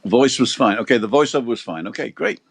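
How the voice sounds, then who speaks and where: A man's voice: medium pitch, animated, quick pace, gravelly, breathy edge. A man co-hosting a casual livestream, chatting and joking as he reads out viewer comments. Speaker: livestream co-host. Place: balcony, outdoors.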